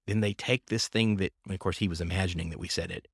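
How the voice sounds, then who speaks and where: Deep husky man hosting a faith podcast. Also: steady tone, fast, no echo, studio quality. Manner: earnest, reflective, talks in long linked thoughts. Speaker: podcast host. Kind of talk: casual faith-based podcast conversation.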